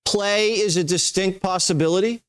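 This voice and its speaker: A man hosting a TV news interview who asks questions briskly with a wry touch. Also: high voice, steady tone, clear, resonant tone. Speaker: TV news host.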